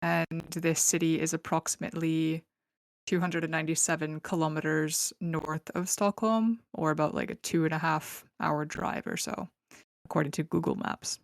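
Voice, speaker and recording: A woman's voice: low-pitched, steady tone, normal speed, gravelly, dry recording. A young woman hosting a true crime podcast, telling the case in a chatty, laughing way with lots of fillers, on a close mic. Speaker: podcast host. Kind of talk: casual true crime storytelling.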